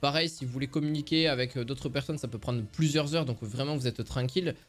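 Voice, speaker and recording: A man's voice: mid-range voice, fast, faint music behind. A man giving a casual, semi-improvised product review as a presenter, explaining things in an informal, rambling way.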